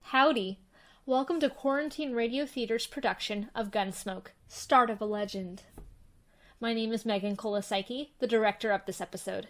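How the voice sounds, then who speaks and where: A woman's voice: a little high, moderate pace. A woman giving a scripted introduction as the director of a radio drama.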